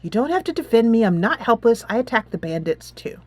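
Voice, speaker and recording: A woman's voice: a little high, very animated, a little fast, darkish tone, dry recording. Woman doing a defiant bloodthirsty game warrior lady, scornful, dry close sound, faint music. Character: brash, bloodthirsty, independent woman fighter in a fantasy game.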